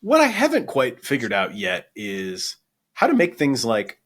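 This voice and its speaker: A man's voice: medium pitch, huge pitch swings, unhurried, fairly bright tone. A man interviewing as a podcast host, informal and thinking aloud as he forms his questions. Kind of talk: conversational interview.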